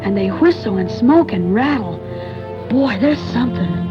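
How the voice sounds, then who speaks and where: A woman's voice: fairly high pitch, expressive, moderate pace, lightly acted. An actress playing a chatty, restless young boy in a 1940s radio thriller, with an eager, gossipy manner. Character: chatty, restless young boy who dodges his strict mother. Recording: muffled 1940s radio recording with music.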